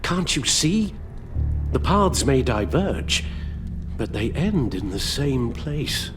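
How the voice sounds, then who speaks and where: An actor playing an elderly British butler in a video game, formal and measured, weary and full of sorrow. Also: male voice, medium pitch, sing-song, over music. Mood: grave pleading. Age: older. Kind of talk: dramatic video game acting.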